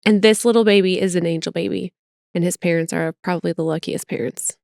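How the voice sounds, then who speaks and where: A middle-aged woman with a General American accent co-hosts a podcast. She talks in a chatty, expressive, self-deprecating way. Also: fairly low pitch, quick pace, gravelly, no echo, clean studio sound. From United States. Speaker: podcast co-host. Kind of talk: casual chat.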